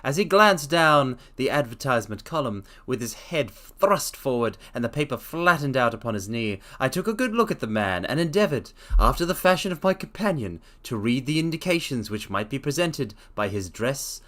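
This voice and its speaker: A male streamer reads a classic story aloud with dramatic flair and breaks off for excitable, rambling asides. His voice sits in a middle register and moves widely in pitch. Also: normal speed, few pauses. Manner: excitable, rambling with fillers between passages. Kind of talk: dramatic reading of a detective story.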